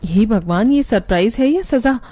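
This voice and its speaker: Woman voicing a scheming young cartoon bride, exasperated, warm dark tone, a bit high. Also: animated, normal speed, dry recording. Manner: complaining, exasperated. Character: young daughter-in-law, fed up, scheming.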